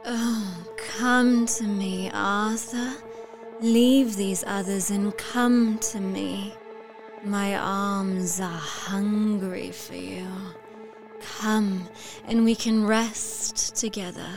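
Seductive undead vampire woman, gothic audio drama: hungry, beckoning, extremely slow, over prominent music. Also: mid-range voice, fairly even intonation. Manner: languid, drawn-out, beckoning calls.

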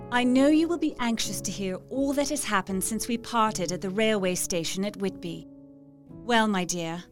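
A woman's voice: mid-range voice, close to an everyday voice. An actress playing a devoted young Victorian woman reading out her letter in an audio drama, earnest, tender and confiding. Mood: tender worry. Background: music.

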